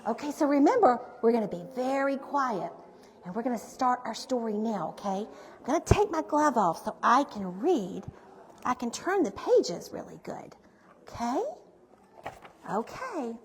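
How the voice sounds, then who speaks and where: A woman leads a children's storytime in a high, very animated voice. She is gentle and encouraging with her young listeners, and the room rings around her as she speaks. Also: unhurried, slight background noise. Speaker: storyteller playing Mrs. Claus. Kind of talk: children's storytime read-aloud. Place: large bright indoor room, seated before children. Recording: reverberant hall, recorded live.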